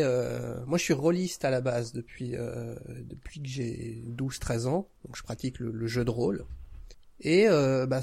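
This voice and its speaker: A man's voice: medium pitch, vocal fry, darkish tone, no echo, studio quality. A man in his thirties from France, chatting informally as a podcast guest and telling his story with hesitations. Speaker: podcast guest, audio fiction creator. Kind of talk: casual chat.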